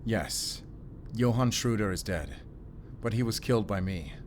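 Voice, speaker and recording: A man playing a calm, stoic werewolf hunter. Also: on the low side, slight background noise. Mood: grave. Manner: calm, measured, matter-of-fact. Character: stoic male werewolf hunter, dry humor. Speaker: audio roleplay lead. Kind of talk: cinematic audio roleplay acting.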